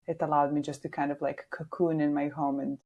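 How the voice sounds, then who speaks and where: Young Slovenian-accented woman guest, lowish voice, easygoing chat full of "like.". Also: little pitch movement, a little fast, darkish tone, lo-fi sound. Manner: chatty, frequent "like" fillers. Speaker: guest, social media manager. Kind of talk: casual interview chat.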